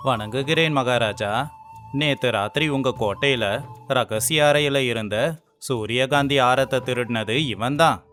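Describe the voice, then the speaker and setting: A man playing a formal, accusing palace guard in an animated folk tale. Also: medium pitch, unhurried, natural voice. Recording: music behind the voice.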